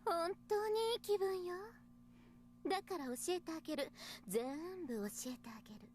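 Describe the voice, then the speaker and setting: A woman voicing a cruel young female mastermind in a Japanese visual novel, gloating with a breathy, drawn-out taunting purr. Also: very high voice, lively intonation, a little mellow, a little hiss or hum. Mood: gloating, amused.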